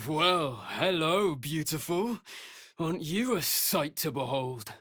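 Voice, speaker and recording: A man's voice: on the high side, expressive, takes it slowly, fairly bright tone, breathy edge, dry recording, studio quality, exaggerated voice. An actor playing a bitter, arrogant male vampire spawn with a sneering, theatrical delivery in a video game. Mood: smug, flirtatious. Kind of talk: dramatic video game voice acting.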